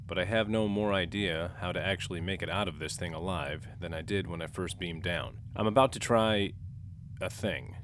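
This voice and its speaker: Man playing a deep-voiced embattled starship captain. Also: normal speed, a bit bright, slight background noise. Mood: grave, determined. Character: embattled starship captain recording his log. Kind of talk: dramatic scripted log narration.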